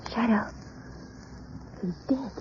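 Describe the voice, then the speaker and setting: An actress playing an earnest young heroine, urgent and dramatic, in an old radio crime drama. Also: female voice, fairly high pitch, slow pace, a little mellow. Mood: anxious. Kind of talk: 1930s-40s radio crime drama acting. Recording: very noisy, dull old radio recording.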